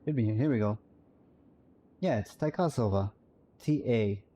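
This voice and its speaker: A man's voice: medium pitch, unhurried, mellow. A man streaming a game live, chatting casually and musing aloud, often correcting himself. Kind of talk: casual live-stream chat while playing.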